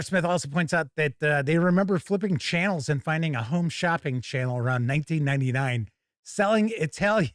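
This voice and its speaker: A man's voice: mid-range voice, no echo, clean studio sound. A man hosting a live tabletop gaming show, chatting casually and reading news with a folksy, wry manner. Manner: folksy, wry, jokey asides.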